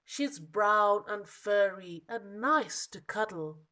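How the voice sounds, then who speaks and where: A woman voicing a small, lost young monkey from a children's storybook, plaintive and exasperated, with emphatic, drawn-out protests. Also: medium pitch, lively intonation, bright, forceful tone. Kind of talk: children's storybook character reading.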